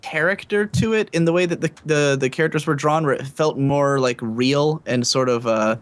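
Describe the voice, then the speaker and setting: A man's voice: a little high, moderate pace, clean tone. A man co-hosting a podcast, talking through his opinions in a thoughtful, hedging way with many fillers. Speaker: podcast co-host. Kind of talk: casual podcast chat.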